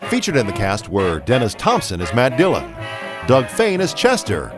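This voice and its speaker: A man's voice: medium pitch, huge pitch swings, normal speed, over music. A man announcing scripted credits in the style of 1950s radio.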